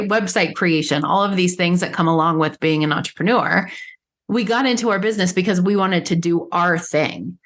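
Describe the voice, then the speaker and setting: Woman, podcast host, low voice, relaxed conversational tone.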